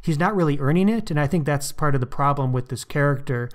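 Male podcast host, slightly high voice, informal and opinionated, chatting about fiction with slangy asides. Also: lively intonation, fast talker. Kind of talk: casual book discussion.

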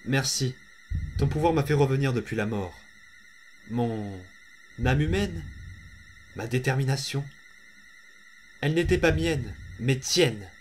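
A man playing a menacing, power-hungry undead boy in an amateur comic dub, deliberate and condescending. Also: medium pitch, expressive, a little hiss or hum, lightly acted. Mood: coldly triumphant. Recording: music behind.

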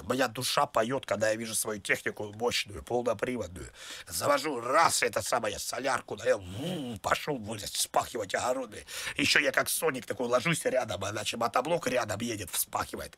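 Raspy old village grandpa, acted by a man, bright forceful tone, dry close sound, boastful, sentimental, folksy rambling. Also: medium pitch, dry recording, exaggerated voice. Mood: enthusiastic. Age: older (played). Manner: rambling, repetitive, folksy filler-heavy talk. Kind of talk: prank phone call improvisation.

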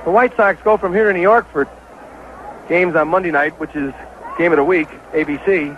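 An American man calling baseball play-by-play on 1970s radio as an excitable, partisan announcer. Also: fairly high pitch, normal speed. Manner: excitable, partisan home-team caller. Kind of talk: 1970s live radio sports commentary. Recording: dull, noisy vintage radio broadcast.

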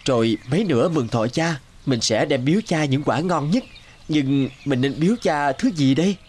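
An actor playing a humble, dutiful young peasant son in an animated folk tale, mild and sincere, with music behind. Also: male voice, a little high, moderate pace, lightly acted. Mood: earnest, polite.

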